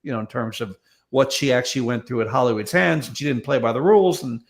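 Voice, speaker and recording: A man with a creaky, medium-pitched voice talks casually as a show panelist. He rambles along in a wry, informal way. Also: fast. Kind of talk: casual chat.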